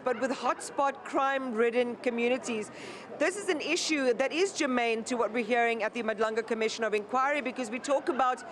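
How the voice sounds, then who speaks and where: A woman anchors a live news interview. Her voice sits a little high, and she speaks formally and articulately, with frequent fillers.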